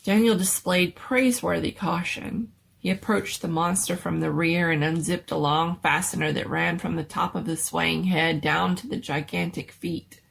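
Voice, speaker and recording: Woman reading a spooky story dramatically, really gravelly fry in her voice, a little noise in the background. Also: on the low side, fairly even intonation, moderate pace. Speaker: story narrator.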